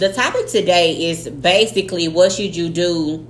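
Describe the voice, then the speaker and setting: A woman's voice: fairly low pitch, moderate pace, roomy sound, a little hiss or hum. A woman in her late thirties co-hosting a podcast, chatting casually with lots of slang and swearing. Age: 30s. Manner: animated, slangy, swears freely. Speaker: podcast co-host. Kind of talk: casual chat.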